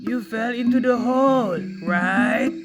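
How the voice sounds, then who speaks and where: A man voicing a slow, smug male tortoise who talks in a mocking, gloating way. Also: extremely high pitch, voice pushed up, exaggerated voice. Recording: voice over loud music.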